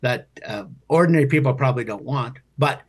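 A Canadian man giving conversational political commentary as a guest, with a husky voice and a halting, rephrasing way of explaining. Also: mid-range voice.

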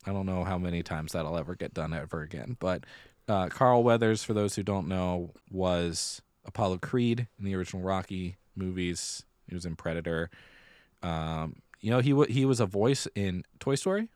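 A man with a low voice talks as a podcast co-host in a casual, conversational way. He tends to repeat phrases to make a point. The sound is dry, with very little room on it. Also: moderate pace, darkish tone, dry recording. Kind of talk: casual chat about musical theater and pop culture.

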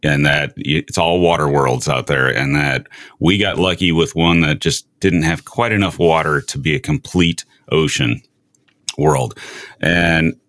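A man hosting a casual science interview, musing aloud in a measured way. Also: a bass voice, a bit bright, no room sound. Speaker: show host, interviewer.

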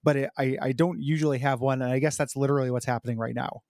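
A man with a froggy, slightly hoarse voice chats casually as a podcast co-host. He talks around a sore tooth, so some sounds come out a little unusual, and he stops and restarts often. The recording is close and dry. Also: mid-range voice, steady tone, a little mellow, dry recording. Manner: halting, lots of fillers and restarts. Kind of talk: casual chat.

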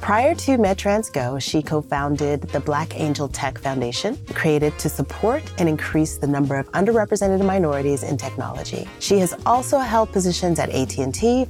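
A woman in her thirties hosting a podcast interview, talking in an upbeat, animated way. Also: sits low. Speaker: podcast host. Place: Atlanta.

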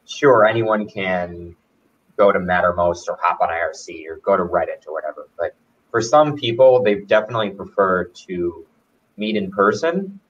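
A man hosting a casual conversation, speaking in a relaxed, thoughtful way. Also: sits low, moderate pace, husky. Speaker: host. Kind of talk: casual chat. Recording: dull distant audio.

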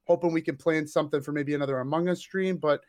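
A man appearing as a podcast guest, eager and excitable, rambling happily in casual chat. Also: fairly high pitch, fast talker.

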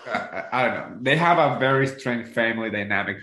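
A man's voice: mid-range voice, animated, fairly quick, bright, forceful tone. A man co-hosting a casual, conversational recap chat about television. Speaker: podcast co-host. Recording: roomy, echoing voice recording.

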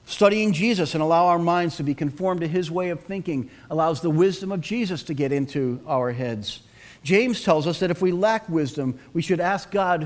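A middle-aged male pastor preaching an earnest sermon and asking the congregation to respond. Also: a little high.

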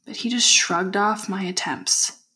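An actress playing a haunted, insistent young woman, narrating an audio diary in an audio drama with deliberate, clipped phrases. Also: mid-range voice, pushed and bright. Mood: uneasy, insistent.

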